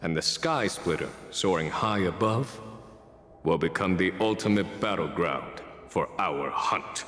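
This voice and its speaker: Deep-voiced, composed commander, echoing hall. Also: male voice, lively intonation, fairly bright tone. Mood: calm explaining. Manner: measured, assured, formal. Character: composed, calculating male strategist commander. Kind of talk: video game cutscene dialogue. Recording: echoey hall, faint music.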